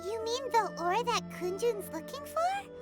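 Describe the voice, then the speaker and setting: A woman voicing a small, excitable female fairy companion who talks about herself in the third person. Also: very high-pitched, unhurried, over music, heavily acted. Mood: puzzled.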